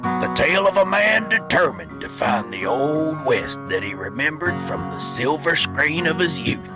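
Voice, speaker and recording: A man's voice: fairly high pitch, big pitch swings, moderate pace. A man narrating a western tall tale in a folksy, theatrical storytelling style. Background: guitar music.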